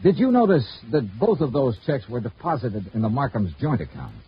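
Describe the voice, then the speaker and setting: A man's voice: mid-range voice, sing-song, a little fast, natural voice. Clipped, probing radio detective played by a man. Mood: probing, businesslike. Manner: clipped, matter-of-fact, pressing with questions. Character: dry, probing male insurance investigator narrating his case. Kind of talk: 1950s radio detective drama acting. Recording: dull, noisy old radio recording.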